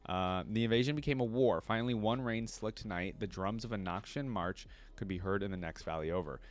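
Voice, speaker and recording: A man's voice: on the low side, brisk. A man hosting a reaction video, mixing casual commentary with reading aloud and informal asides.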